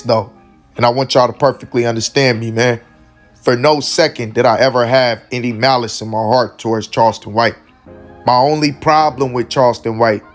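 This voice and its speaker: A man hosting casual commentary, hoarse and losing his voice, speaking earnestly and often tagging lines with "man.". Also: mid-range voice. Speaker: host of a commentary channel.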